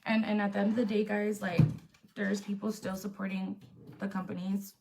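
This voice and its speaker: A woman's voice: mid-range voice, little pitch movement, moderate pace, gravelly, mellow, a little hiss or hum, rough recording. A young woman influencer venting bluntly and defiantly to her viewers on a live stream, swearing often and filling with "like". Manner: blunt and defiant, swears a lot, many "like" fillers. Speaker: influencer on a livestream.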